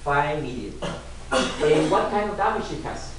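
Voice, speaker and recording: Mexican man pitching in a roomy class. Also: lively intonation, a little slow. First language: Spanish. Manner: enthusiastic, broken English, salesman pitch. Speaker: product presenter. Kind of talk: class demonstration. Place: beauty school classroom. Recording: roomy, from far off, faint noise.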